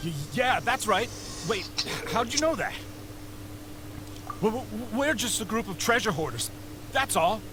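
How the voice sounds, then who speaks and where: An actor playing a nervous, sycophantic bandit underling in a video game, wheedling and stammering. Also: male voice, high voice, animated, a little slow, long pauses. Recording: game capture with clear background noise.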